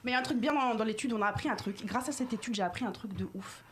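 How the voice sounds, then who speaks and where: Slangy female columnist, higher voice, noisy recording. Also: very fast. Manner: casual slangy banter. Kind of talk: comic chronicle on a talk show.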